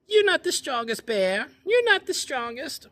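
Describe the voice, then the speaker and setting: A man voicing a small, cheeky female chipmunk with a squeaky, taunting voice in a told story. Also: very animated, unhurried, fairly bright tone, heavily acted. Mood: teasing. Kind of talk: oral storytelling.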